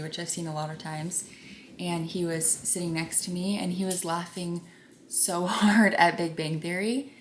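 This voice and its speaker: A young woman with a soft, gentle voice, chatting casually through a makeup routine, rambling and sometimes whispering. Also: lowish voice. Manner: rambling, drops into whispers at times. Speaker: beauty vlogger. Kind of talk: soft chatty get-ready-with-me vlog. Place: home. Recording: echoey room with faint background noise.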